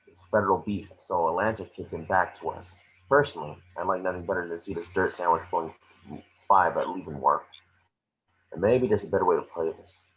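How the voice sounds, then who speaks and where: A man's voice: low voice, a little fast, lightly acted. A man playing a blunt, commanding FBI chief in a screenplay table read. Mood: businesslike. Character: gruff, hard-nosed male FBI chief, blunt and commanding. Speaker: amateur actor in an online table read. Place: remote video call. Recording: muffled video call audio.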